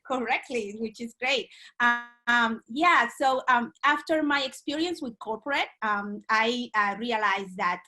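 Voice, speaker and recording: Dominican-born woman in her 40s, bright tone, lots of ums. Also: on the high side. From Dominican Republic. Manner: hesitant, many ums and uhs. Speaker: guest. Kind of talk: remote podcast interview.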